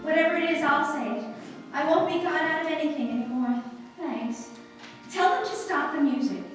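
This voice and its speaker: A woman's voice: high-pitched, normal speed, faint music behind, lightly acted. A woman acting a proud, flustered upper-class bride in a theatrical, exclamatory 1940s radio drama style. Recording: echoey hall, distant mics.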